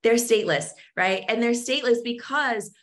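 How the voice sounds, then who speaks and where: A middle-aged woman, speaking deliberately and earnestly as an interview guest explaining things on a video call. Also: fairly high pitch. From born in Zambia, moved to the United States at age four. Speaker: guest author and co-host. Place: home office with bookshelves, indoors.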